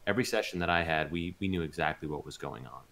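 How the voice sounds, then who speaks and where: Very deep-voiced man, hesitant and casual. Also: gravelly, a bit bright. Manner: hesitant, thinking aloud with long pauses. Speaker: voice actor being interviewed. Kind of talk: casual interview chat.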